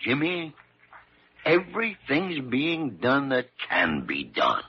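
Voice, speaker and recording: An actor in an old radio drama playing a gruff, sharp-tongued old doctor with a husky, raspy voice. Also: male voice, medium pitch, huge pitch swings, slow pace, loud music behind the voice. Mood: concerned. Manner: gruff, cantankerous. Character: crusty, sharp-tongued old male doctor, fond underneath. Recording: muffled old radio recording.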